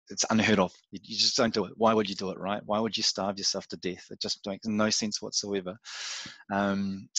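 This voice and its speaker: A New Zealand man with a slightly low voice recounts things in an unhurried, casual way. He thinks out loud, filling pauses with um and you know. The sound is dry and close, with no room echo. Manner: reflective, with frequent um and you know fillers. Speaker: podcast guest. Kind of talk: casual interview chat.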